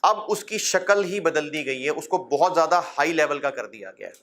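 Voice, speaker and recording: Middle-aged man, accounting lecturer: bright, forward voice, pitched a touch high, coaxing and fatherly with the class. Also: very animated, moderate pace, slight background noise. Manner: fatherly and encouraging, addresses students as son; rhetorical questions, gentle humor.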